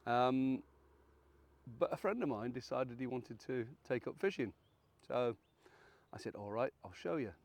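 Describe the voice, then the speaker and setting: A man around seventy, croaky and hoarse from illness, hosting a fishing channel and walking through a rig in a chatty, folksy, self-deprecating way. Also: mid-range voice, expressive, a little slow, long pauses. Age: 70s. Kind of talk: casual how-to demonstration.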